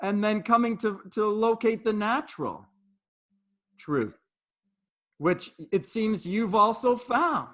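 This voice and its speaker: A middle-aged man hosts a casual talk in an earnest, reflective way. His voice sits high for a man, and he often circles back over his words. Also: expressive, takes it slowly, pauses often, a bit bright. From Jamaica, raised in Canada. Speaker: fitness trainer and host. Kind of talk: casual conversational interview.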